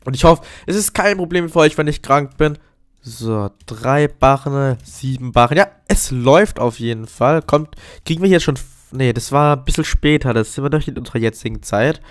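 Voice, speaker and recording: A man's voice: mid-range voice, normal speed. A man with a cold, giving chatty, upbeat commentary while playing a video game and often addressing his viewers as friends. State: has a cold. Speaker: gaming YouTuber. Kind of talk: casual Let's Play commentary.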